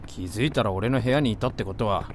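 A woman's voice: rumbling low, quick pace, a little hiss or hum. A woman playing a blunt, grumpy but kind-hearted young man in an amateur voice drama. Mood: grumbling. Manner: rough, blunt masculine speech, exasperated retorts. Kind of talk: Japanese amateur voice drama acting.

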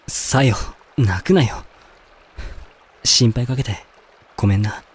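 A man playing a blunt but caring young man with rough, casual speech in a Japanese amateur voice drama. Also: medium pitch, slow. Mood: tender.

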